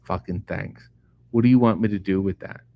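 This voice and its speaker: A middle-aged man, a comedian host, reading viewer comments aloud and answering them in a casual, profane, deadpan way. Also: sits low, fairly quick, dry recording, dull audio. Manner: profane, sardonic, deadpan asides. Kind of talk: casual chat answering live chat questions.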